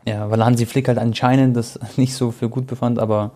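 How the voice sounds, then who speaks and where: Young male football co-host, rapid informal delivery, relaxed mellow timbre, colloquial slang. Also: medium pitch. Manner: colloquial, slangy youth speech. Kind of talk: casual chat about football.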